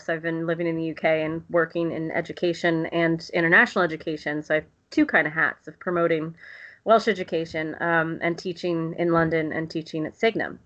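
Rambly excited woman from the us, lowish voice, kinda muffled audio. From United States. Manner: enthusiastic, rambling, frequent ums. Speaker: guest, literature lecturer. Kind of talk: casual interview conversation.

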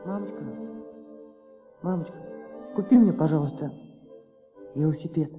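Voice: mid-range voice, moderate pace, natural voice. An actor voicing a wheedling little choirboy who pleads and rehearses, in a radio play. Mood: pleading. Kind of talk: Soviet radio play acting. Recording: muffled old recording, long reverb. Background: music.

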